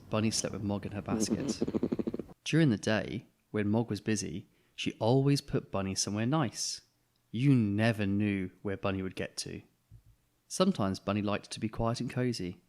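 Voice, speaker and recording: A man narrating a children's storybook in an expressive way, as a reader who acts out the dialogue. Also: on the low side.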